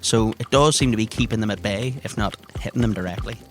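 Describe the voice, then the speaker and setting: A man's voice: on the low side, quick pace. A man narrating a tabletop adventure as game master, in vivid, theatrical and descriptive language.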